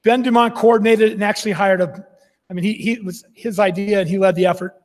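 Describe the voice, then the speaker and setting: Man, high and husky, casual stage talk in a reverberant hall. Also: steady tone, fast talker, a little mellow. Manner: chatty, testing sound with audience. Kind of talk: casual live event talk.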